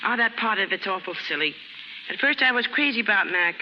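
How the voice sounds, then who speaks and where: A woman's voice: mid-range voice, lively intonation, a little fast, lightly acted. A brash, lovelorn young American chorus girl played by a woman in a 1930s radio play. She talks in slangy, unschooled phrases. The old broadcast is hissy and muffled, with long reverb. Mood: lovelorn, candid. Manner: slangy, ungrammatical.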